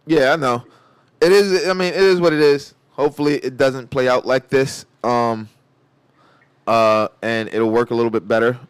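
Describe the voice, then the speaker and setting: A man's voice: medium pitch, steady tone, moderate pace, clear, resonant tone, no echo. A man hosting a sports talk show, chatting informally and often dropping "man" and "like" into his sentences. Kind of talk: casual sports and pop culture chat.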